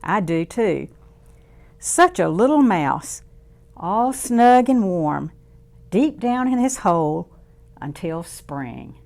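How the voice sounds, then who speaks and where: A woman reading a picture book aloud to young children in an expressive, deliberate, sing-song way. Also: mid-range voice, gravelly. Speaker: children's story reader.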